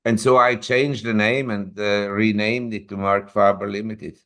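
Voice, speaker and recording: A man's voice: fairly low pitch, little pitch movement, unhurried, fairly bright tone. A man in his seventies, a newsletter publisher and interview guest, telling long rambling anecdotes with self-corrections. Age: 70s.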